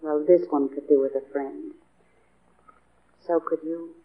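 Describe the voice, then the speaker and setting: Plucky hostage, boxy old radio sound. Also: female voice, deep voice, fairly even intonation, measured pace, vocal fry, heavily acted. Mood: urgent, earnest. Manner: earnest, direct, questioning. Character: plucky young woman hostage, a policeman's girlfriend, steady, persuasive. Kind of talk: 1940s radio drama acting. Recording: muffled, narrow old radio broadcast.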